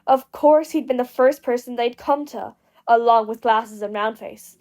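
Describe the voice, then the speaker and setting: High-voiced woman narrating fiction. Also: moderate pace, a little mellow. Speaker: podfic narrator. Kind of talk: expressive scripted fiction narration.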